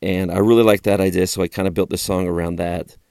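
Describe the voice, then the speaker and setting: A man's voice: sits low, little pitch movement, quick pace, gravelly, darkish tone, no room sound, clean studio sound. A man hosting a podcast, talking to listeners as its host and songwriter.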